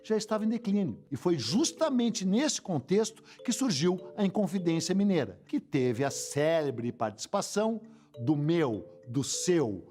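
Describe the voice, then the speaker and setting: A man narrates a history documentary with a wry, storytelling touch. His voice sits fairly high and leaps around in pitch. A little music plays faintly underneath. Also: big pitch swings, unhurried, darkish tone.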